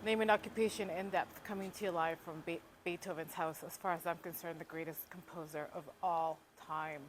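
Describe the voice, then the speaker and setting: A woman's voice: steady tone, a little fast. A woman speaking as a podcast host, introducing the show. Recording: noisy, vehicle-like rumble behind voice.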